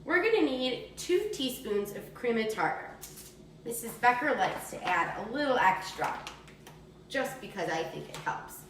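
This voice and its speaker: A woman's voice: on the high side, sing-song, measured pace. A woman giving a step-by-step cooking demonstration for young children, talking in a simple, encouraging, instructional way. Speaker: teacher demonstrating a recipe for children. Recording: distant mic, echoey room, faint noise.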